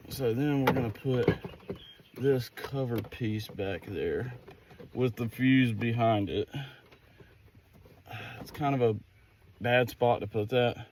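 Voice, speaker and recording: A man's voice: mid-range voice, a little mellow. A man chatting casually through a hands-on how-to vlog in a folksy, easygoing way, thinking aloud as he works. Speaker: vlogger doing a DIY car project. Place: outdoors at a vehicle, some wind. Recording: noisy outdoor recording with ambience.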